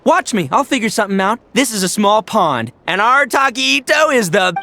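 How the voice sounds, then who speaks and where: A woman's voice: mid-range voice, animated, normal speed, sharp tone, slight background noise. A woman voicing a cocky, scheming video game character with a folksy, slangy way of talking.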